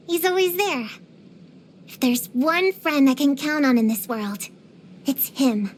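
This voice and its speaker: Precocious little kid for a video game, high pitched, dramatic and earnest, some noise behind it. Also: female voice, a bit bright. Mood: solemn, confiding. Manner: earnest, theatrical, uses grown-up vocabulary. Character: precocious, feisty, dramatic little girl witch hunter. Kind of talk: scripted video game character voice lines.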